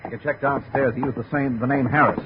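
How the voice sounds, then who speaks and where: A man's voice: medium pitch, fairly even intonation, fast, big-room sound, close to an everyday voice. A man playing an agitated, defensive associate in a 1950s radio detective drama. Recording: muffled, noisy 1950 radio broadcast.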